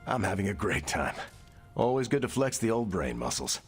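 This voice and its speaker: A man's voice: on the low side, big pitch swings, normal speed, faint music behind. A man voicing an easygoing, sincere superhero in a video game, chatting casually with a wry touch. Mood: confiding. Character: easygoing, friendly male superhero, sincere and jokey. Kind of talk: video game cutscene acting.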